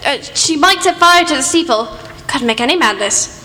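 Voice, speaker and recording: A woman playing an earnest, excitable doctor and detective's sidekick in a radio play, proper in her manner. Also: sits high, moderate pace, a little hiss or hum. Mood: alarmed. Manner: proper, exclamatory. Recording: long reverb, clear music behind.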